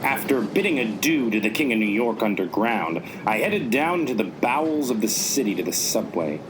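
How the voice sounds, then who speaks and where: A man's voice: on the low side, sing-song, moderate pace. A man playing a wry detective who narrates his own case as mock-serious noir in a radio play. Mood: wry, determined. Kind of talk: scripted noir parody narration. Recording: clear background noise.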